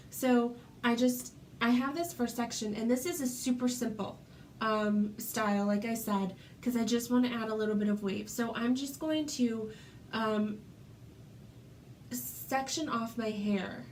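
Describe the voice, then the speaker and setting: A woman's voice: mid-range voice, darkish tone, slight background noise. A woman with a stuffy cold, chatting casually through a hair tutorial at home and saying "um" a lot. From Florida. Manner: chatty, many ums, demonstrating while talking. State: congested with a cold.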